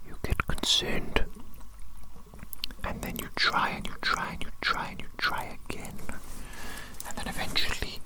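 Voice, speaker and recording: A performer voicing a doting, eccentric evil doctor in a breathy whispered ASMR roleplay, with fussy, repetitive, quaintly formal phrasing. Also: unhurried, long pauses, natural voice, low-quality recording. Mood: encouraging, grandiose. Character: doting eccentric evil doctor fussing over a tiny creature.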